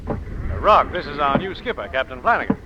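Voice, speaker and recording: An actor playing an amiable, uneasy shipowner with a placating manner, in 1940s radio style. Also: male voice, a little high, expressive, a little fast, lightly acted. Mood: genial, placating. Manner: polite, placating. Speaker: ship owner. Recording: dull, noisy old-time radio recording.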